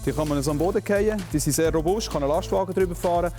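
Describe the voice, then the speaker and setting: A man with a Swiss German accent speaks as a police officer explaining his job. His voice sits fairly high for a man and is casual, with clear music behind it. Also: fast talker, dark tone.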